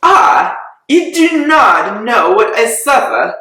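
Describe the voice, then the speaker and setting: A reader raises their voice to play an excitable middle-aged mother, fussy and fretful, pleading over and over in a storybook reading. The tone is bright and the pace slow, and the room rings with heavy echo. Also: medium pitch, exaggerated voice. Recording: very echoey room.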